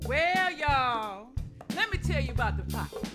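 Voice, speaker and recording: A woman's voice: high-pitched, expressive, measured pace. A woman playing a knowing, folksy stage storyteller, delivering slangy, lilting, half-sung spoken word. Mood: knowing, rhythmic. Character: jazzy, knowing, folksy female storyteller addressing the crowd. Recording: guitar music behind the voice.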